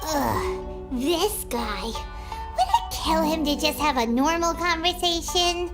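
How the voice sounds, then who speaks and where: A woman voicing a tiny, plucky, blunt childlike companion in a video game, with a squeaky, cheeky delivery. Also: expressive, slow, over music, full character voice. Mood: exasperated.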